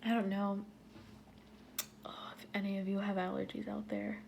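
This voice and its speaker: A woman with a stuffy nose, talking casually to her viewers, breathy and often half-whispered between sighs. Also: mid-range voice, level tone, normal speed, a little mellow, a little hiss or hum. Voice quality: stuffy nasal voice. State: stuffy nose, sniffling and sneezing. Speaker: vlogger. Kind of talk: casual talk to camera.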